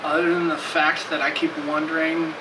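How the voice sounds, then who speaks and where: A man's voice: on the high side, completely flat delivery, moderate pace. A twenty-year-old man answering interview questions casually, wry and joking, recorded from a distance in an echoey room with noise. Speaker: study participant.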